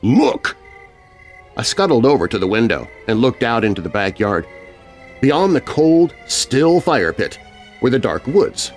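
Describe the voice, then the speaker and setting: A man narrating a scripted children's horror story. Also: mid-range voice. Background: music.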